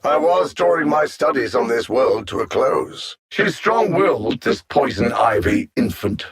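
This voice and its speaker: A man playing a cold, superior alien machine conqueror in a video game, articulate and haughty, savoring his menacing taunts in a gravelly, husky voice. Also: medium pitch, big pitch swings, a little slow, exaggerated voice. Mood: smug menace. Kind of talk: theatrical video game villain acting.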